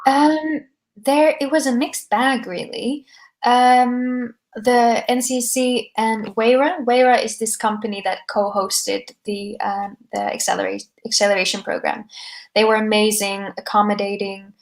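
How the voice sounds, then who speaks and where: A soft-spoken woman in her twenties, a startup founder answering podcast interview questions into a microphone, with frequent ums. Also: fairly high pitch, steady tone, measured pace, creaky. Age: 20s.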